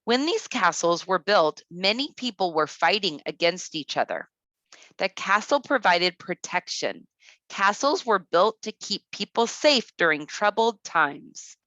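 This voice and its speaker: Bright-voiced woman reading slowly to young children. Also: medium pitch, animated, no room sound, dull audio. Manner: deliberate, instructive, addresses children directly. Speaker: kindergarten teacher reading aloud. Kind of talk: read-aloud to young children with teaching asides.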